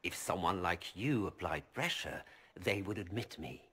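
Scheming merchant, husky, ingratiating, game voice. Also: male voice, low-pitched, expressive, unhurried, bright, forceful tone, exaggerated voice. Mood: smug, ingratiating. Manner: smooth, ingratiating, formal. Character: scheming male merchant, oily and polite. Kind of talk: video game voice acting.

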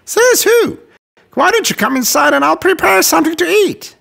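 Plain-spoken storybook country mouse, male actor, theatrical and lively, fry in the voice, over music. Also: extremely high pitch, normal speed, full character voice. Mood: hospitable. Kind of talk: children's fairy tale dub.

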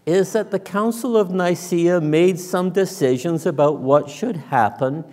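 Slow-paced older male preacher in a reverberant church. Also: high voice, darkish tone. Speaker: minister preaching. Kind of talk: conversational sermon. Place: large church sanctuary, indoors.

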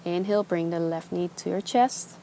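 Calm woman guiding a yoga class. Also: on the low side, slight background noise, poor audio. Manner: calm, slow, soothing cues. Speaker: yoga instructor. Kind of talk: guided yoga instruction. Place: outdoors on a beach.

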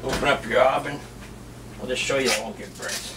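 Middle-aged man, rambling casual chat, mid pitch, set back from the camera mic, home kitchen noise. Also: steady tone, a little fast, recorded from a distance. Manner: chatty, offhand, rambling with restarts. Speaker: food vlogger. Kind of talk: casual cooking and tasting vlog. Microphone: camera mic set back in the room.

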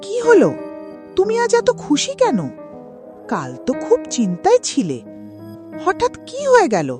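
Greedy, very animated village wife. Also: female voice, very high-pitched, a little slow, over music, heavily acted. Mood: curious. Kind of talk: cartoon voice acting.

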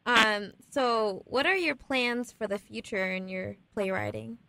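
A woman's voice: fairly high pitch, unhurried, gravelly, pushed and bright, no room sound. A woman interviewing casually for a radio show, with tentative, chatty phrasing full of little hedging words. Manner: tentative phrasing, says "like" often.

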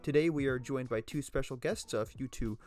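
A man's voice: mid-range voice, fairly quick, darkish tone, faint music behind. A man hosting a podcast in a casual, chatty style. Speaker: podcast host.

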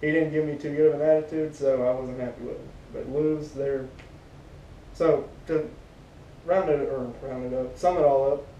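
A man's voice: fairly even intonation, normal speed, dark tone, dull audio. A young American man in casual review chat as a co-host, picked up by a camera across a living room. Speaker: co-host of fishing gear reviews. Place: living room at home, indoors. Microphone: camera a few metres away. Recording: distant roomy camera audio, rumbly background noise.

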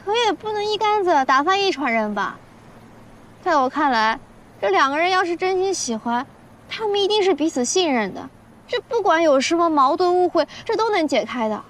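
A woman's voice: extremely high pitch, big pitch swings, moderate pace. A woman playing a bubbly, earnest, slightly awkward young professional in a modern romantic drama. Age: young adult. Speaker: actress in a television drama.

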